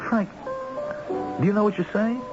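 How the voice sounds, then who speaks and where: An actor playing an anxious, self-doubting executive short of money, with hesitant, stammering delivery in the style of 1970s radio drama. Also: male voice, sits high, steady tone, moderate pace, close to an everyday voice. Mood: shocked, disbelieving. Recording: dull old radio drama recording, music behind.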